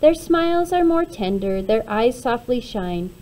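Mellow, higher-voiced woman reading a storybook. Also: very animated, unhurried, a little hiss or hum. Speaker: storybook reader. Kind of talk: read-aloud of a rhyming children's picture book.

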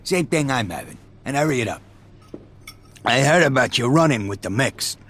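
An actor playing a loud, middle-aged American mobster with an East Coast city accent, husky, chummy but menacing. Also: male voice, mid-range voice, expressive, moderate pace, slight background noise, lightly acted. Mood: jovial, brash. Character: loud, profane middle-aged male mobster recruiting killers.

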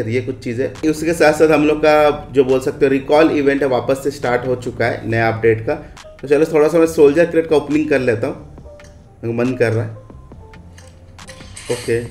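A man's voice: medium pitch, a little mellow. A man with an Indian accent casually walking viewers through a game update in a conversational, tag-heavy way, as host of a gaming video. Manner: conversational, frequent checking tag phrases. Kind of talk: casual screen-capture commentary on game updates. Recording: roomy echo on voice.

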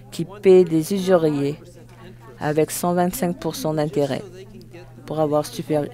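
Live interpreter doing a formal speech, mid pitched voice, goes phrase by phrase n corrects themself. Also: darkish tone. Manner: halting, phrase by phrase with self-corrections.

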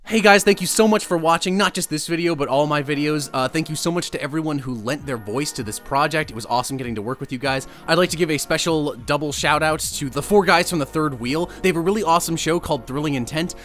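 A man with a slightly high voice hosts a casual outro. He is enthusiastic and earnest, speaking over light music. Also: fast talker, hardly any pauses, a bit bright. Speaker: host, animator. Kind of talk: casual thank-you outro to viewers.

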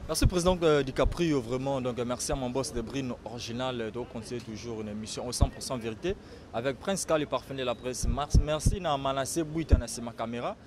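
A man's voice: mid-range voice, brisk, noisy background. A man in his thirties with a Congolese accent, hosting a casual interview. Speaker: interviewer, show host. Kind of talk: casual TV interview, switching languages. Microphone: handheld interview microphone. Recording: outdoor recording with busy background chatter.

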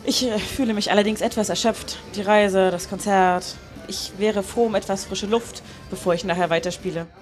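A woman's voice: mid-range voice, steady tone, moderate pace, faint music behind, lightly acted. A woman playing a courteous, weary young Soviet concert pianist in a live radio play, speaking formally.

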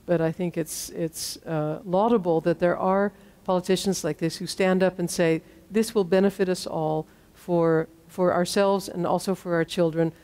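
A middle-aged woman closes a lecture to a hall audience in an earnest, measured way. Her voice sits low for a woman and has a mellow, warm tone. She speaks into a podium microphone. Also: fairly even intonation. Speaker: author giving a talk. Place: large hall with stage.

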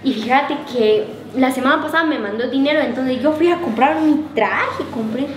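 A woman's voice: high-pitched, normal speed, echoey room, noisy background, close to an everyday voice. A young woman playing a girlfriend who brags about the money her boyfriend sends, in a casual web drama. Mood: boastful. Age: young adult. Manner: chatty, boastful. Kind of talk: amateur web drama acting.

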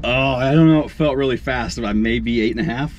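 An American man in his thirties talks like a vlogger who has just been amazed. His speech is informal and excited, full of dude and bro. There is clear engine and outdoor noise behind him. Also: medium pitch, a little fast, lo-fi sound. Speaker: vlogger and monster truck driver. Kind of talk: excited casual chat. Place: in a race car and outdoors at a track.

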